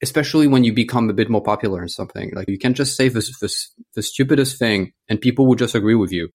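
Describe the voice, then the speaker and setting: A man in his thirties from France talks casually and at length as a guest in a conversation, growing animated as he tells stories. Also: mid-range voice, quick pace. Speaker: interview guest.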